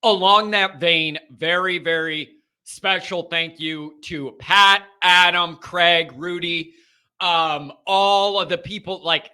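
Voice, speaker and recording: A man hosting a sports talk show, chatting casually and energetically while his voice sounds strained. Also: high voice. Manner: energetic, chatty, loud openers. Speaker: sports podcast host.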